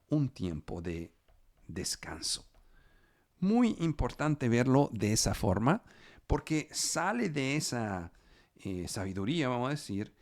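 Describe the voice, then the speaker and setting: A man whose first language is not Spanish, teaching scripture slowly and deliberately, drawing out key numbers. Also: mid-range voice, gravelly, darkish tone. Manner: slow and deliberate, stretching key numbers, with restarts. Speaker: preacher. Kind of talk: Bible teaching.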